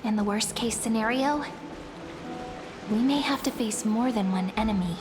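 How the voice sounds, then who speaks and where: A woman's voice: a little high, moderate pace, lightly acted. A woman voicing a polite, wary, thoughtful young companion in a video game, careful and earnest. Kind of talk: video game character acting. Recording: long reverb over game music.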